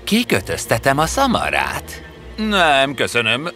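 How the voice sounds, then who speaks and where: A man voicing several male characters in an animated fairy tale dub, with a lively, theatrical delivery. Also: mid-range voice, faint music behind.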